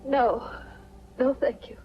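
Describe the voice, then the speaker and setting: Anguished high-voiced noir widow. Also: female voice, steady tone, takes it slowly, background noise. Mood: shaken, guarded. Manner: anguished, pleading. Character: guilt-ridden, anguished widow in a crime melodrama. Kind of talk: 1940s film noir acting. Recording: muffled 1940s film soundtrack.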